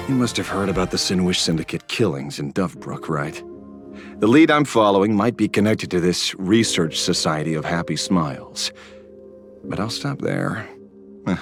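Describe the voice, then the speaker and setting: Sarcastic streetwise investigator in a game, lowish voice, bouncy delivery, some music under it. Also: male voice. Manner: sardonic, drawling, self-assured. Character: wry streetwise male investigator, lone-wolf justice seeker. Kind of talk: game dialogue voice acting. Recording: studio voice with faint game music.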